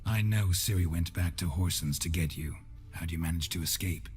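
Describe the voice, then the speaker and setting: An actor playing a stoic, dry-witted monster hunter in a video game, speaking tersely and deadpan. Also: male voice, deep voice, a little fast, lightly acted. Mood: dry, inquiring. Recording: music behind the voice.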